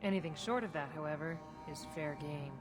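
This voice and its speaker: An actress playing a shrewd, composed smuggler boss in a video game, speaking in a measured, wry, businesslike way. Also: female voice, low voice, close to an everyday voice. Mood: cool, appraising. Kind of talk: video game dialogue acting. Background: music.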